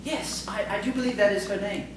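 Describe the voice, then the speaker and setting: A woman's voice: medium pitch, brisk, natural voice. A woman playing a polite, timid, bookish male schoolteacher in audio theatre, hesitant and prim. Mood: nervous, courteous. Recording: distant, echoey, noisy stage recording.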